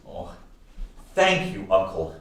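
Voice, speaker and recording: A man's voice: on the low side, sing-song, takes it slowly, exaggerated voice. A man playing an amiable, wry adult nephew in a radio play. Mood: grateful surprise. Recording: distant echoey stage, loud background noise.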